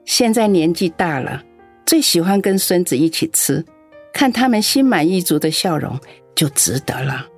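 A woman's voice: lowish voice, lively intonation, normal speed, breathy edge, soft background music. A woman playing a lonely old grandmother. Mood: fond. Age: older. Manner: fond and sighing, turns scolding and aggrieved. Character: lonely elderly grandmother, doting yet quick to scold. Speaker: mother in a radio drama. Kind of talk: radio drama acting.

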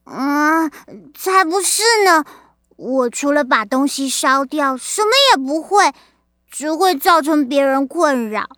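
Tiny high-pitched little witch girl. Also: lively intonation, slow, fairly bright tone, no room sound, studio quality, exaggerated voice. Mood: dejected. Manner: wide-eyed, childlike exclamations. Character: little witch girl, earnest, awed, self-doubting. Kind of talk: children's radio drama acting.

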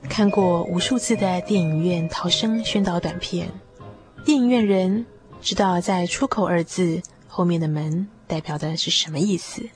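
A woman narrating a radio drama in an expressive, measured literary style. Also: on the low side. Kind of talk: scripted radio drama storytelling. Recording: music behind the voice.

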